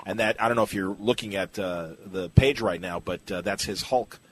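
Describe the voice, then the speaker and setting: A man's voice: low voice, moderate pace, a bit bright. A man hosting a casual interview podcast, chatting and putting listener questions to his guest. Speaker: podcast host.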